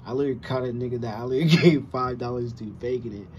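A man's voice: mid-range voice, fairly even intonation, very fast. A man, chatting casually to camera in a rambling, slangy way with frequent swearing. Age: 20s. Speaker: reaction video host. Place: small room with wooden cabinets indoors. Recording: dull lo-fi sound, music behind.

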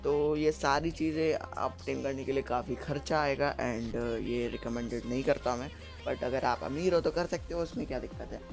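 Guy walking thru a game event, mid pitch, soft music under it. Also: normal speed, gravelly. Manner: explains steadily, says "ठीक है" again and again. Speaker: gaming YouTuber. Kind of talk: screen-recorded game guide.

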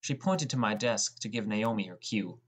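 A man's voice: lowish voice, animated, moderate pace. A man as a teenage boy narrator. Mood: narrating. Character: sullen, defensive teenage boy narrating his story. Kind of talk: scripted audiobook narration.

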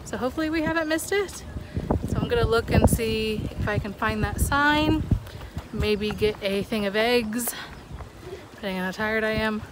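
A woman's voice: a little high, moderate pace, background noise. A woman in her thirties chatting casually to a phone held at arm's length while walking outside, in a rambling, hesitant, conversational way. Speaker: vlogger, mother. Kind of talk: casual outdoor vlog chat. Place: outdoors on breezy walkways and roadsides.

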